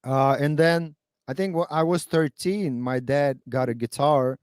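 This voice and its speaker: A man's voice: fairly high pitch, measured pace, a little mellow, clean tone, no echo, studio quality. A man in his thirties from Kazakhstan whose first language is Russian, chatting casually and hesitantly on a stream, with many fillers and restarts. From Kazakhstan (then USSR). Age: 30s.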